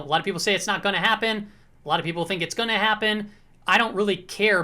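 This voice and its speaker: A woman hosting a casual market commentary, slightly hoarse, talking through charts in a conversational way with frequent check-ins to the viewer. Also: mid-range voice, pushed and bright.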